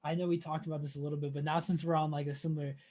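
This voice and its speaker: A man, interviewing casually in a loose, halting, slangy way. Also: a little high, level tone, a little mellow. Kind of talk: casual chat.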